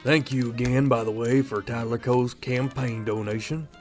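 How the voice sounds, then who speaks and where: A man's voice: mid-range voice, fairly even intonation, soft background music, lightly acted. A man playing a tired, formal 1940s governor in a radio drama. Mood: courteous. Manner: formal, measured old-fashioned politician. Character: weary ambitious 1940s male governor, stiff and formal. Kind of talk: fan radio drama acting.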